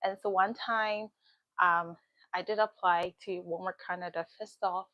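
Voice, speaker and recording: A woman's voice: lowish voice, steady tone, measured pace, no room sound. A young woman from Ghana, hosting her own live stream and casually chatting, reading out viewer comments as she goes. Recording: dull dry lo-fi sound.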